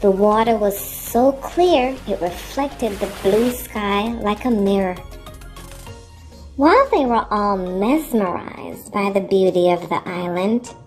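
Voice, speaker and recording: A woman narrating a children's story in an expressive, deliberate, instructive way. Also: medium pitch. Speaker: storyteller and reading teacher for children. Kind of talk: animated children's read-aloud narration. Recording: music playing behind. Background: music.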